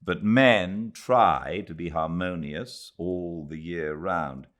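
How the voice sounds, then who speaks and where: A man from Britain who narrates audiobooks, chatting casually and then reading a classic novel aloud by his fireplace at home. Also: sits low. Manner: relaxed, chatty intro then measured literary reading. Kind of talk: live fireside book reading.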